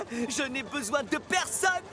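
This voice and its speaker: An eager, courteous little rail car in a children's cartoon. The voice sits high and sounds boyish. Light music runs behind it. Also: male voice, full character voice. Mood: gleeful. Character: polite, eager young male talking rail car. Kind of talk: animated children's cartoon dub.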